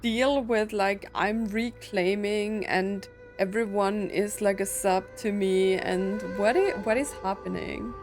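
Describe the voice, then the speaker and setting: A woman's voice: mid-range voice, fairly even intonation, slow pace, hardly any pauses, over music. A woman streamer giving informal, puzzled and teasing reactions while playing a video game. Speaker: gaming streamer. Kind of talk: casual playthrough reactions.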